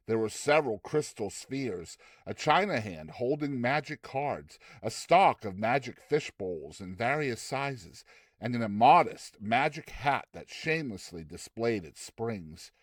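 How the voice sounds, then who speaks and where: A man narrating a classic short story in a theatrical, expressive audiobook style. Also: medium pitch, no room sound, clean studio sound.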